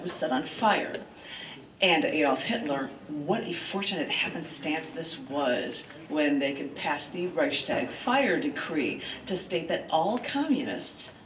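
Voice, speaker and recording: A woman poet hosting an open mic, reading aloud live with dramatic, rhetorical delivery and asides to listeners. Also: low voice. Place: large bookstore, indoors, live event. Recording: roomy live room, distant mic, clear noise.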